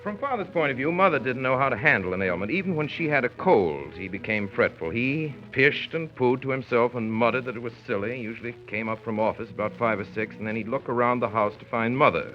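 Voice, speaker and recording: An actor playing a grown son narrating family memories, wry and measured, in 1930s radio style. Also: male voice, medium pitch, expressive, lightly acted. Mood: wry, reminiscent. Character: grown son wryly recalling his domineering father. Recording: dull 1930s radio recording with music.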